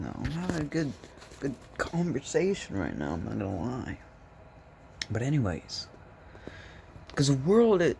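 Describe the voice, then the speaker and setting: A young man with a voice hoarse from smoking, rambling and joking in a laid-back way into his phone. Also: mid-range voice, slight background noise. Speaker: vlogger. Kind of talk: rambling casual vlog chat.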